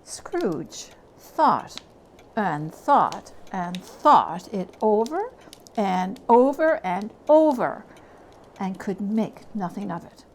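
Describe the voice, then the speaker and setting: A woman giving a dramatic reading of a classic story, theatrical, lingering on words and dropping into hushed tones. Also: medium pitch, very animated, very slow, a little hiss or hum. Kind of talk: dramatic reading of literary narration.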